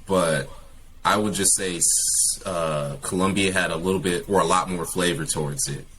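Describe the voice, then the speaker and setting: A man's voice: deep voice, moderate pace, a bit bright, slight background noise. A young man from California, a guest English teacher, talking casually in an interview with many fillers and restarts.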